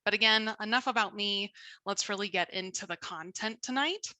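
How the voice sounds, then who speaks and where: A woman's voice: medium pitch, normal speed, sharp tone, no room sound. A woman from Colorado giving an upbeat, chatty talk as a guest speaker on a video call. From Colorado, USA. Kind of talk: virtual presentation.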